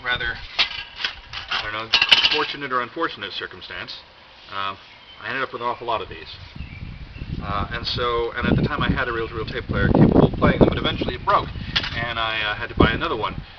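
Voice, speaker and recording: Low-voiced American man, noisy lo-fi recording. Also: brisk. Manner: wry, deadpan humour. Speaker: video host. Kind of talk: casual rambling show-and-tell. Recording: dull lo-fi audio, loud background noise.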